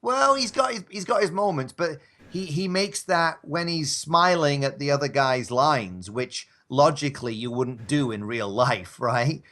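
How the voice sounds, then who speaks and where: A man's voice: fairly high pitch, animated, unhurried, bright, forceful tone. A man as a podcast guest in casual chat, rambling conversationally. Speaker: podcast guest, radio drama actor.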